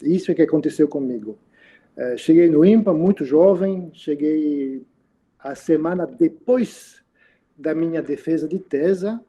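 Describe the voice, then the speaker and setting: A French man in his sixties with a French accent, speaking Portuguese as a second language in a relaxed interview, pausing to search for words. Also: on the high side, lo-fi sound. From France. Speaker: interviewed mathematician. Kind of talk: casual interview.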